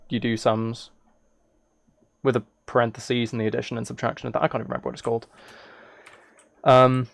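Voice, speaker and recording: A British man talks casually as he streams a game. His pitch sits in the middle, and he rambles along with self-deprecating, apologetic asides. The recording is very dry and close, with no room sound. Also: creaky, dry recording. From United Kingdom. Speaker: live streamer playing a video game. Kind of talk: casual livestream chat while gaming.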